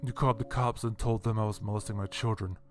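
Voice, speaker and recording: Guy acting wronged dad, sarcastic and accusing, kinda low voice, soft music behind, audio drama. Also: fairly even intonation, quick pace, close to an everyday voice. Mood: bitter accusation. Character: aggrieved, sardonic father confronting a relative.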